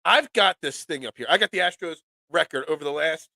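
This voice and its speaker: A man hosting a live sports talk show, nasal and congested from a cold, excitable and rambling. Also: on the high side, a little fast, vocal fry, no echo. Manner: excitable, rambling, restarts often.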